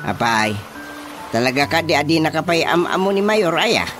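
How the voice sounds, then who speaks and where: High male voice, Ilocano accent, man acting as folksy teasing village chairman, radio comedy, music underneath. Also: big pitch swings, normal speed, a bit bright. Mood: chatty. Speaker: radio drama voice actor.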